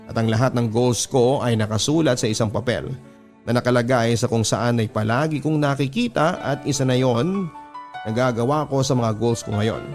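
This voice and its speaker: A man with a Filipino accent reading listener letters as a radio storyteller and host. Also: medium pitch. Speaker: host and narrator of a story show. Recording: background music under the voice. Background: music.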